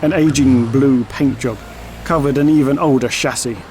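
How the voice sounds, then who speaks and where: A man's voice: medium pitch, normal speed, a little mellow, slight background noise. A man reading scripted narration for an audio drama.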